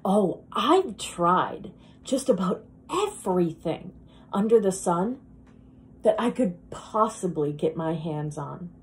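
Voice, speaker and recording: A woman performing a dramatic monologue as a wistful, self-deprecating woman who longs to be beautiful, speaking in a halting, confessional, emphatic way. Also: fairly low pitch, very animated, exaggerated voice. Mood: earnest, frustrated.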